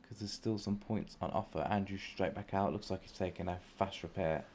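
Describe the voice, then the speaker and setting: Gravelly man commentating on sim racing. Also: sits low, steady tone, brisk. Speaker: motorsport stream commentator. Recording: clear background noise on stream.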